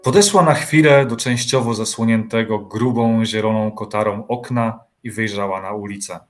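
A man's voice: lowish voice, steady tone, normal speed. A man reading scripted literary narration as the narrator of an audio drama. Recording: music behind the voice.

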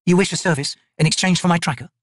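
A man voicing a wary, wry wizard in a fantasy audiobook, musing expressively in a raised pitch. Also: fast, no echo, clean studio sound, lightly acted. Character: wary, wry male wizard, quick-witted.

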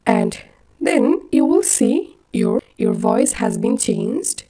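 A woman narrating a software tutorial step by step in a plain, instructional manner. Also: on the high side, sing-song, takes it slowly, heavy background noise.

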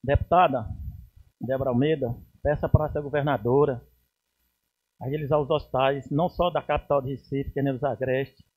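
A man's voice: mid-range voice, a little mellow, husky, low-quality recording. A man in his forties with a Pernambuco accent, giving an indignant speech at a council podium mic, rising to emphatic bursts. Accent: Pernambuco Portuguese. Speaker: city councilman. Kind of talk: plenary speech. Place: council chamber, indoors. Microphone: podium gooseneck mic.

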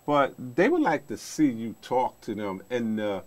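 A middle-aged man speaks as the host of an informal talk show. His voice is mid-pitched, and he tends to ramble and repeat his phrases as he puts questions to a guest. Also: big pitch swings, a little slow. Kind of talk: informal interview. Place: small indoor TV studio.

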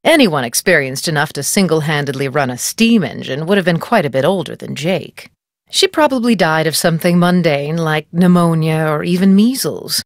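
Haughty, theatrical lady novelist, swooping pitch. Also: animated, moderate pace, no room sound, studio quality. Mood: dismissive, morbid relish. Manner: theatrical, condescending, arch. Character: haughty, gossipy middle-aged female mystery novelist. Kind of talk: video game character acting.

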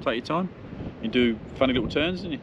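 A man's voice: medium pitch, animated, quick pace, lo-fi sound. A man, chatting in a laid-back, colloquial way for a travel vlog outdoors. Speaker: travel vlogger. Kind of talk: casual chat. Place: outdoors on a windy clifftop. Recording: wind noise on camera mic, outdoors.

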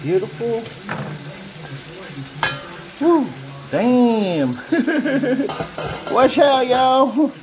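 A man casually narrating his home cooking, relaxed and chatty with frequent ums, filmed on a phone over the stove. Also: very high-pitched, drawn-out words, long pauses, over music. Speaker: home cook vlogger. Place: home kitchen. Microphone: phone camera held over the stove. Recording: noisy home kitchen phone recording. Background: sizzling and bubbling pots.

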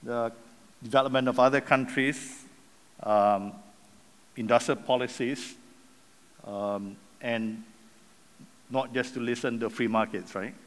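A man's voice: medium pitch, steady tone, slow pace, long pauses. Casual middle-aged man at a lectern. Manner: casual, rhetorical questions, tag "right?". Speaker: session host introducing the speaker. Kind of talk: speech from the podium. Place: large conference hall stage. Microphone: lectern microphone. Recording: long hall reverb.

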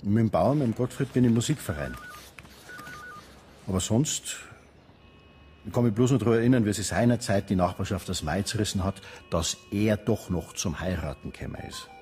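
An actor playing an easygoing small-town policeman with a Bavarian accent in a radio crime drama, unhurried and matter-of-fact. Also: lowish voice, a little mellow, soft background music, lightly acted. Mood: reflective. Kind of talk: naturalistic German radio crime drama acting.

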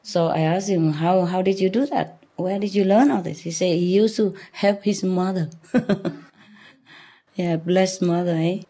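A woman's voice: fairly low pitch, a little slow, mellow. A middle-aged woman, a spiritual teacher, talking informally to a seated group through a desk mic, chatty, laughing often and restarting her sentences. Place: room with paper screens, audience in a hall. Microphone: desk mic on a stand.

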